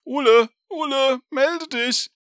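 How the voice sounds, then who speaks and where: A man acts out a spiteful young villain from a storybook tale. The voice jumps high and shrill, stretching out mocking calls in a very dry, close recording. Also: slow, fairly bright tone, dry recording, full character voice. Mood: taunting, shouting.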